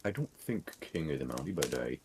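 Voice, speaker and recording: A man's voice: extremely low pitch, animated, brisk, noisy background. A man co-hosting a podcast as quizmaster, chatting casually and often stammering as he starts a phrase. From Canada.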